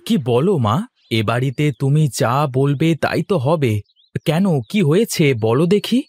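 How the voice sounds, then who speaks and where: A man's voice: fairly high pitch, expressive, moderate pace, a little mellow, no room sound. A man playing a teasing, mildly bossy husband and devoted son in a cartoon drama. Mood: concerned. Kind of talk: cartoon voice acting, fairy-tale drama.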